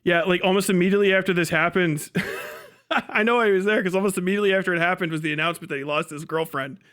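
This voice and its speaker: A man's voice: sits high, quick pace, a bit bright, smooth. A man doing casual livestream commentary, swearing and muttering to himself at a close mic. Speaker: livestream host reacting to a video.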